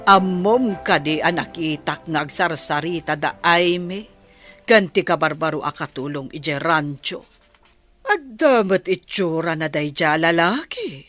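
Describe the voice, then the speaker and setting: Slow, low-voiced scheming stepmother. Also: animated, a bit bright, soft background music. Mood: sly, plotting. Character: scheming middle-aged stepmother, sly, manipulative villainess. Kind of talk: radio soap drama acting.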